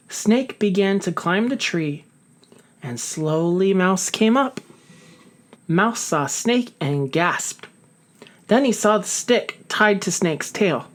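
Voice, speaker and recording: Woman reading a kids story out loud, slow and really animated, lots of up and down. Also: medium pitch. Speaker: storybook reader. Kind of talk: expressive children's story narration.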